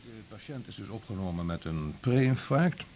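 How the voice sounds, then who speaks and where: A man's voice: fairly low pitch, expressive, normal speed, background noise, close to an everyday voice. An actor playing a male examining doctor who asks probing, clipped questions in a radio drama.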